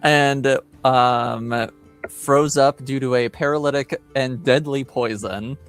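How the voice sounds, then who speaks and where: A man runs a tabletop game as its game master, recapping the story casually. His pitch is ordinary and his pace is slow, with many halting pauses. Faint music plays underneath him. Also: steady tone, smooth. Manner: halting, pausing often mid-sentence. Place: remote online stream call. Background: music playing underneath.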